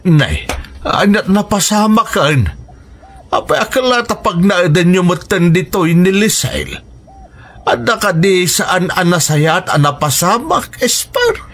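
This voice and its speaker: A man's voice: high voice, sing-song, a little slow, fairly bright tone, exaggerated voice. A man with an Ilocano accent playing an indignant, protective, plaintive elderly grandparent in a radio drama. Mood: dismayed, pleading.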